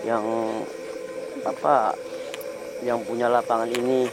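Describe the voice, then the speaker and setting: A man answering questions with slow, quiet, brief replies, heard from off the mic. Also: medium pitch, little pitch movement, background noise.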